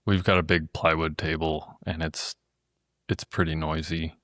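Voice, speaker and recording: A man with a very deep voice talks as a guest on a podcast, easygoing and measured. He is on a close mic with a dry sound and no room echo. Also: level tone, fairly bright tone. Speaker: podcast guest, business co-founder. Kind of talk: casual conversation.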